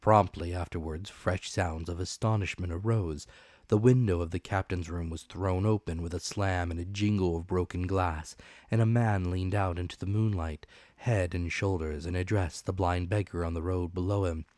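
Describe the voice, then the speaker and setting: A man's voice: low voice, steady tone, normal speed, darkish tone, dry recording. A man narrating an adventure story as a dramatic, scripted audiobook reading.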